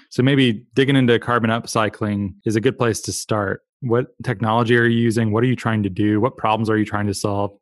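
A man hosts a podcast interview in a relaxed, chatty way, tossing in playful jokes at his own expense. His voice is close and dry on the mic, with no room sound. His pitch sits in the middle range for a man. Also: no room sound. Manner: chatty, playful asides and self-deprecating jokes. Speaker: podcast host. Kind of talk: conversational interview.

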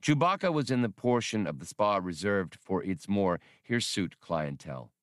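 A man narrates scripted fiction as an audiobook reader. His voice sits on the low side, and the recording is dry with no audible room. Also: lively intonation, moderate pace, no echo.

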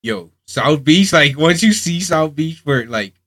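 A man's voice: on the high side, animated, measured pace, sharp tone, no room sound, studio quality. A young man from the Bahamas, a radio show guest, talking loosely and casually with many fillers. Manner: loose, laid-back, lots of "like" fillers. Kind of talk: casual interview chat.

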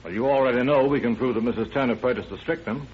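An actor playing a confident, combative American district attorney in a 1930s radio drama, assured, emphatic and formal. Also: male voice, mid-range voice, fast, slightly rough, close to an everyday voice. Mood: confident, insistent. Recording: muffled, faintly noisy old radio recording.

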